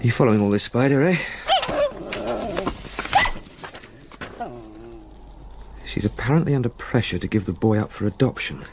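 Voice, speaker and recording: An actor playing an uneasy, educated solicitor in a gothic radio drama, with measured, articulate, old-fashioned speech. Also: male voice, on the low side, lively intonation, very noisy. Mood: musing, absorbed. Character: uneasy, educated male solicitor recounting a haunting.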